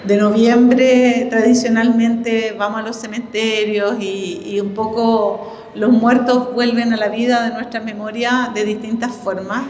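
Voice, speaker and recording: A middle-aged woman with a Chilean accent giving an informal welcome speech as a director, halting as she searches for words. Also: a little high, level tone, darkish tone. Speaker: library director giving an opening address. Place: indoor exhibition event in a library. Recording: echoey hall, reverberant, faint background noise.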